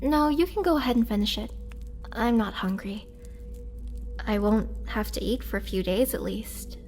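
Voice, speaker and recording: Woman performing a gentle young female vampire, roleplay drama, slow hesitant caring delivery, higher pitch, some background noise. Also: lightly acted. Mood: caring, reassuring. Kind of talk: intimate girlfriend roleplay audio drama.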